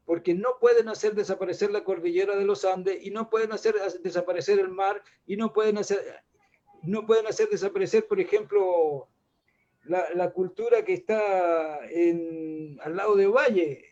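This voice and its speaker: A high-voiced older man with a Chilean accent, speaking in a reflective, wandering way that is full of restarts and fillers. The sound is muffled and low-fidelity, like the audio of an online call. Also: fairly even intonation, moderate pace, dark tone. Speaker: guest artist. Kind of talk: casual panel discussion.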